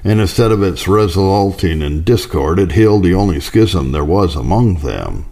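A man reading a historical religious account as a scripted narration, in a measured, formal manner. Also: low-pitched, animated, warm tone.

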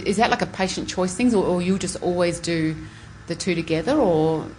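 A woman's voice: on the low side, a little fast, darkish tone. Chatty Australian woman podcast host, noisy background. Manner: informal, effusive. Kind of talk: casual chatty interview.

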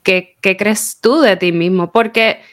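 Smooth-voiced Puerto Rican woman interviewer. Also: medium pitch, a little slow. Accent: Puerto Rican Spanish. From Puerto Rico. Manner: probing, chatty questioning. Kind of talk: conversational interview.

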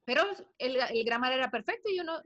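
A woman's voice: sits high, lively intonation, quick pace, fairly bright tone, highs cut off. A woman speaking expressively in a casual chat. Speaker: spin instructor.

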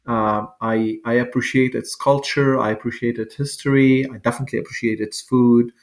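A man's voice: mid-range voice, fairly even intonation, moderate pace. A man, a physician guest speaking in a wry, conversational way. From Lebanon. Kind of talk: panel interview answer.

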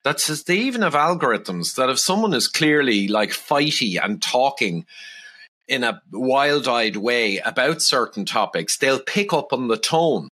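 A middle-aged man with an Irish accent, a podcast guest who speaks in a calm, measured, reasonable way. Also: medium pitch. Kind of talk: casual podcast conversation.

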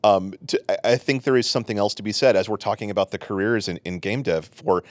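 A man's voice: fairly low pitch, fast, no echo. A man hosting a casual podcast, running the chat with playful, jokey asides. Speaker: podcast host.

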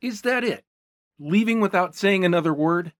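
A bewildered, easygoing young man, voiced by a woman reading an audiobook, defensive and puzzled. His delivery swings up and down with lively pitch, and the sound is dry and close to the mic. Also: moderate pace, no room sound, natural voice. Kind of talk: audiobook character voice.

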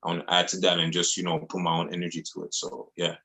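Male music artist, very low voice, earnest slangy interview talk. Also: monotone, quick pace, sharp tone, slightly rough. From Montreal, Canada. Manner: earnest, repetitive phrasing with casual slang. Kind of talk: casual interview chat.

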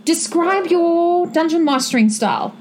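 High-voiced South African host. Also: female voice, expressive, measured pace, a little mellow, slight background noise. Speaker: panel host. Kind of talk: moderating a panel.

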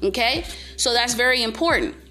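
Young woman preaching patience to her viewers, high voice, loud n emphatic, faint music behind. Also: animated, moderate pace. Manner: emphatic, preachy, repeats herself, speaks up loudly. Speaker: vlogger giving life advice. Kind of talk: casual motivational monologue to camera.

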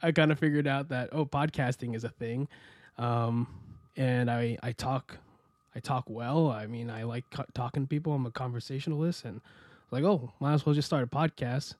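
A man's voice: medium pitch, normal speed, no echo. Young man, podcast guest, rambling, casual, dry close sound. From United States. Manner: relaxed, rambling, many fillers and restarts. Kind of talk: casual interview chat.

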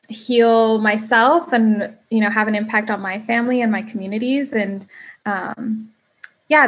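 A young woman with a soft, quiet voice, a coach answering interview questions with many pauses and ums. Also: mid-range voice. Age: young adult. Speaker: restorative coach, guest.

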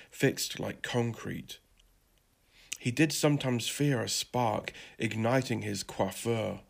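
Male narrator for literary audio fiction, lower register, gravelly creak, scripted delivery.

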